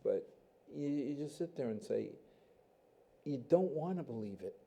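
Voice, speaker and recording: A man hosting a local talk show, his voice very dark and mellow. He chats in a folksy, joking way, and Portuguese was his first language. His pitch sits in an ordinary middle range for a man. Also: lively intonation, a little slow, gravelly. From Massachusetts, USA. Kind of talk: casual interview chat.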